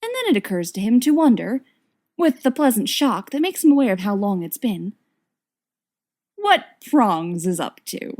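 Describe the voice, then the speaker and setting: A woman's voice: medium pitch, big pitch swings, moderate pace, darkish tone. A woman narrating a written story expressively, with wry, dramatic emphasis. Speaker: audiobook reader of fan fiction.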